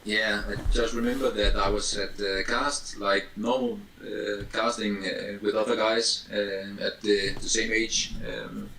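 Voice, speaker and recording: A man in his twenties from Denmark with a Danish accent, answering interview questions casually in hesitant, halting English as a non-native speaker. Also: on the low side, level tone, creaky, pushed and bright. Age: 20s. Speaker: interview guest. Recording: roomy, distant mic with faint noise.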